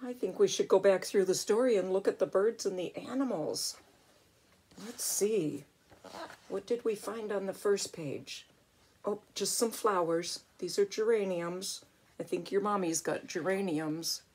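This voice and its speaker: Older woman, unhurried storybook reader, slightly husky, expressive, with chatty asides for a small child. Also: medium pitch. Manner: expressive, exclaiming, talking to a young child. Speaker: grandmother reading a picture book.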